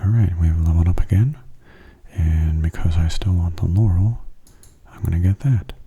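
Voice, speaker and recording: Low gravelly guy whispery soft asmr gaming commentary, husky, unhurried n casual, right up on the mic. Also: muffled recording. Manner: hushed, unhurried, gently casual. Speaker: gaming ASMR video host. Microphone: close mono microphone.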